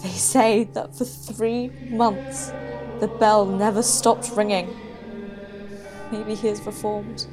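A woman's voice: mid-range voice, steady tone, takes it slowly, over music, close to an everyday voice. A woman playing a proud, persecuted queen in a period audio drama, with formal, theatrical diction. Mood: bitter, wary.

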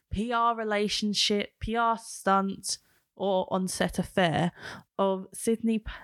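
A woman hosting a casual pop culture podcast, chatty and quick to laugh. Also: mid-range voice, dry recording, studio quality. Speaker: podcast host.

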